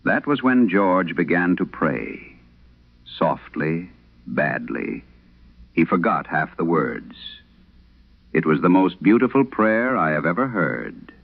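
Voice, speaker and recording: Man playing a very deep, grave old priest. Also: sing-song, creaky, phone-quality sound, heavily acted. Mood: sorrowful. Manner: grave, measured, dramatic pauses. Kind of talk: solemn first-person horror radio storytelling. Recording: band-limited vintage radio sound.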